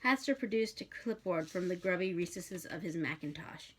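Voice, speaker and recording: A woman narrating fiction aloud in an expressive storytelling style. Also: lowish voice, moderate pace, slightly rough. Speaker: bookshop reader.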